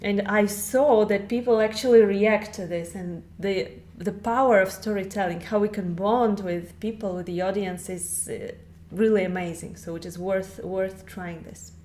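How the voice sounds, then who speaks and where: A woman speaks English as a guest on a podcast. She explains things carefully and at a measured pace, in a voice of middle pitch. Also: fairly even intonation, a little mellow. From Russia. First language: Russian. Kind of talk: podcast interview.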